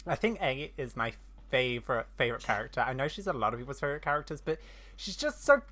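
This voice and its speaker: Low-voiced, excitable woman gamer. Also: fast talker. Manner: excitable, gushing. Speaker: gamer reacting to a story quest playthrough. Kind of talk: casual, spontaneous gameplay commentary.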